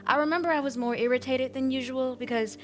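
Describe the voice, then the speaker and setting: A woman playing a plain-spoken mother giving deliberate, dryly understated testimony in an audio drama. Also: high voice, steady tone, lightly acted. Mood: dry, reflective. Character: plain-spoken, weary mother recalling a troubled marriage. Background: music.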